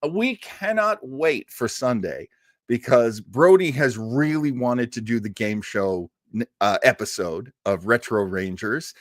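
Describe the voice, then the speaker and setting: An animated man hosts a casual livestream in a chatty, rambling fan style. His pitch moves up and down a lot, and the sound is close and dry. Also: unhurried, no echo. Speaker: host of an old-time radio show. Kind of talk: casual livestream chat with audience.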